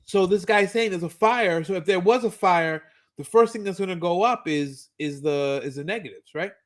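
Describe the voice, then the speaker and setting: A man with a high voice co-hosts a fan rewatch podcast. He talks casually through scenes and adds his commentary, often doubling up on words as he looks for the next phrase. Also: moderate pace. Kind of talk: casual chat, recapping and commenting on a cartoon.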